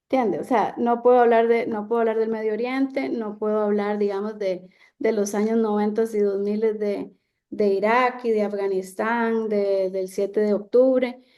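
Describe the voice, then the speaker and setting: Woman in her thirties, trade speaker, hesitant and full of fillers, gravelly, mellow tone. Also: medium pitch. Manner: hesitant, many fillers and restarts. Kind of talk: lecture Q&A. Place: seated, online talk.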